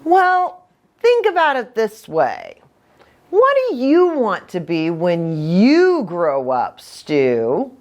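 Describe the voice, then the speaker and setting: A woman's voice: medium pitch, wildly swooping pitch, slow, a little mellow. A woman hosting a children's storytime as a pastor, talking to young children with lots of questions and reading aloud with little asides. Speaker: children's ministry host and pastor.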